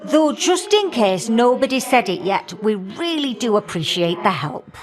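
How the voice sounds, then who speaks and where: An actress voicing a warm, feisty old great-aunt fire goddess for a video game, with folksy, teasing, old-fashioned talk. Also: female voice, fairly high pitch, very animated, measured pace. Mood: warm, curious. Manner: folksy, teasing, old-fashioned turns of phrase, calling listeners dearie. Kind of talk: video game character acting.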